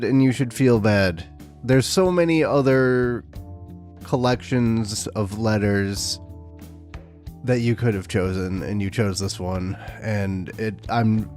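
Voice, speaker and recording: A man in a casual commentary video, voice slightly rough from getting over an illness. He rants with sarcastic exasperation and dry asides, pitched in the middle range. Soft guitar music plays faintly under him. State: voice a little rough from recent illness. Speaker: tabletop wargaming YouTuber.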